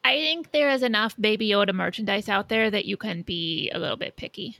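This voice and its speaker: A woman co-hosting a casual podcast, low-key and informal, sounding a little sleepy and under the weather. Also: a little fast, creaky, bright, forceful tone, no room sound. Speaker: podcast co-host.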